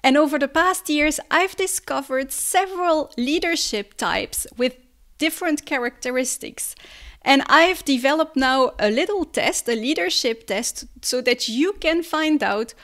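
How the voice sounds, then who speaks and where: A woman's voice: high-pitched, unhurried, a bit bright. A woman hosting a leadership podcast, speaking upbeat and encouraging straight to her listeners. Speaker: podcast host. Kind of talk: podcast interview and promo.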